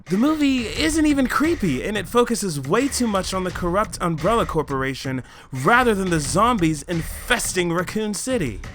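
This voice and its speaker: A man's voice: sits high, sing-song, normal speed, a bit bright, a little hiss or hum. A man co-hosting a movie countdown, delivering scripted comic narration in an emphatic, over-the-top style. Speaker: co-host of a movie countdown show.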